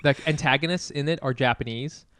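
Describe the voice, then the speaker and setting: A man's voice: mid-range voice, a little fast, fairly bright tone, clear, resonant tone, no room sound. A man co-hosting a podcast in casual comedic chat, informal, emphatic and free with swearing. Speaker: podcast co-host.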